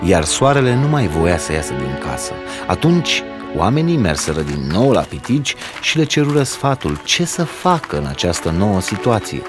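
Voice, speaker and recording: A man reading a folk tale aloud as a storyteller, in an expressive, dramatic storybook style. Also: low voice, hardly any pauses, music in the background.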